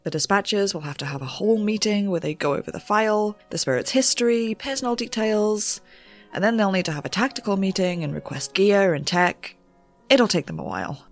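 An actress playing an eager, nervy young amateur ghost researcher, talking in wry, rambling audio notes for an audio drama. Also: female voice, mid-range voice, fairly quick, faint music behind. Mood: hurried, excited. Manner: rambling, wry, animated asides.

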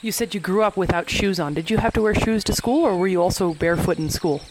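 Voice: medium pitch, fast, background noise. A host introducing a guest and asking questions in an interview.

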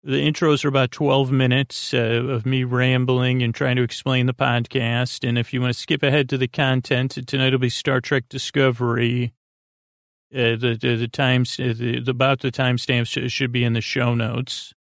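A man hosting a bedtime podcast in a meandering, halting monologue that trails off mid-word, with whimsical, gently silly asides. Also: mid-range voice, little pitch movement, no room sound, clean studio sound. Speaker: podcast host.

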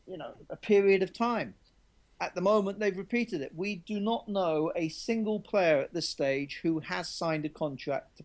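A man being interviewed as a rugby journalist, talking candidly and often restarting his sentences. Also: on the high side. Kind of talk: conversational interview answers.

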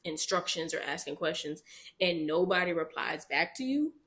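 A woman co-hosting a casual podcast, telling stories in animated, quick bursts with frequent laughter. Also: lowish voice. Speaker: podcast co-host. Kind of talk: casual chat.

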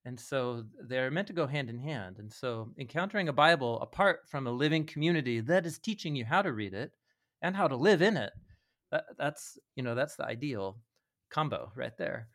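A man's voice: medium pitch, expressive, normal speed, a bit bright. Thoughtful man explaining in conversation. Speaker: podcast guest, Bible teacher.

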